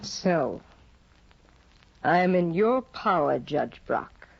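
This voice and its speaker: An actress playing Hedda, a bored, cold aristocratic young wife, speaking slowly and mockingly in a 1950 radio drama. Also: female voice, on the low side, sing-song, vocal fry, no echo, full character voice. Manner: slow, icy, mocking. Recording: dull lo-fi 1950 radio broadcast.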